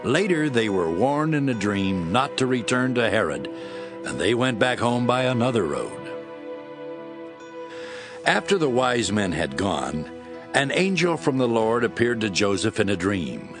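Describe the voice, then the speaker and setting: Man, Bible narrator, dramatic scripted reading, animated intonation, music underneath. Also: mid-range voice, unhurried.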